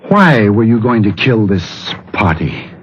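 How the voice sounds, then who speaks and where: A man's voice: on the low side, sing-song, measured pace, breathy edge, full character voice. An actor playing an anxious, desperate everyman in a 1940s radio thriller, with an urgent dramatic delivery. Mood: frightened. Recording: muffled old radio recording with music.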